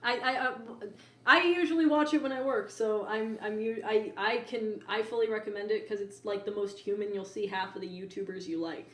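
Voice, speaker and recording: A woman's voice: mid-range voice, steady tone, fairly quick. A woman co-hosting a podcast, chatting casually in an informal, opinionated, rambling way. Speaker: podcast co-host. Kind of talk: casual chat. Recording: echoey room sound.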